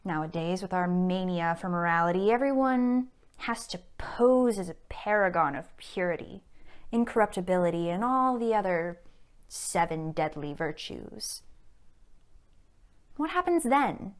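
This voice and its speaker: A woman's voice: on the low side, animated, measured pace, smooth. A woman playing a cunning, elegant society blackmailer in a period stage monologue, arch, mocking and theatrically emphatic. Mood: smug mockery.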